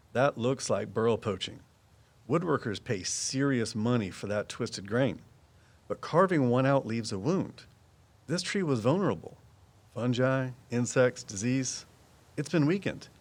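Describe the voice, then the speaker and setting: Casting: knowledgeable male woodsman, guarded and folksy, mid-pitched, very varied intonation, audio drama. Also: lively intonation, unhurried. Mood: knowing, measured. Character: Walt, guarded, knowledgeable woodsman and conservation volunteer. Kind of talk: full-cast audio drama dialogue.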